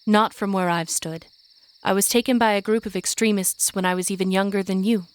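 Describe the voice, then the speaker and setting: An actress playing an older, hardened witch who leads and protects her coven, speaking in a grave, measured, commanding way in an audio drama. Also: medium pitch, steady tone, clean tone, natural voice. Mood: grave, bitter.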